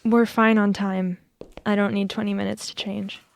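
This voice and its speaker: A young woman acting in an audio drama as a politician's sharp-witted grown daughter and exacting editor, dry and matter-of-fact. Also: medium pitch, flat delivery, moderate pace, vocal fry, no echo, close to an everyday voice. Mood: guarded.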